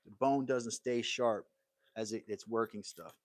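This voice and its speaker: A man's voice: lowish voice, steady tone, a little slow, no room sound, clean studio sound. A man hosting a casual woodworking livestream from his workshop, talking in an easygoing, rambling way and answering viewer comments. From United States.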